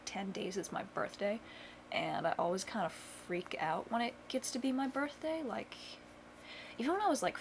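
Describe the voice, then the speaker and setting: Rambling chatty young woman, gravelly edge. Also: mid-range voice, a little hiss or hum. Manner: rambling, chatty, with many fillers; dry self-mocking humor. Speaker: vlogger. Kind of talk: casual chat to camera. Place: home bedroom.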